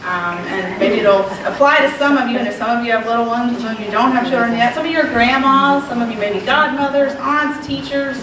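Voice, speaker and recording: A woman's voice: on the high side, fairly quick, few pauses. A woman in her thirties from Southwest Virginia with a slight Southern accent, giving a casual, self-deprecating talk at a women's retreat. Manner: conversational, self-deprecating, with frequent ums. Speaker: retreat speaker. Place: large echoey room. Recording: very echoey, distant, noisy room recording.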